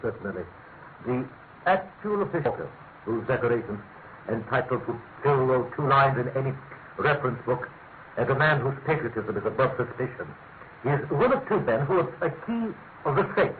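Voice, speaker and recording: A man acts out a fussy, self-important British government grandee in a radio play. He speaks at a middle pitch, halting and then pressing his words with grave emphasis. The sound is thin and tinny, with a clear layer of noise. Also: animated, natural voice. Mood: official, measured. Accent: British English. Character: pompous, fussy male senior government official, gravely insistent. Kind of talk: classic British radio mystery acting. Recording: tinny, narrow-band radio recording with hiss.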